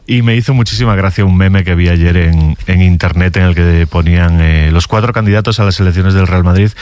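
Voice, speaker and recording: A man's voice: deep voice, brisk, darkish tone. A man with an accent from Spain, chatting casually and humorously and telling anecdotes as a panellist on a sports talk show. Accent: Spanish from Spain.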